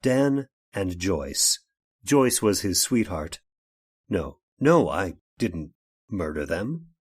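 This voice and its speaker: An actor playing a courteous, ailing inventor of artificial limbs in a radio horror drama, speaking in a halting, rambling, genteel way. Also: male voice, deep voice, very animated, husky, heavily acted. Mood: polite, uneasy. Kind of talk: old time radio horror drama acting.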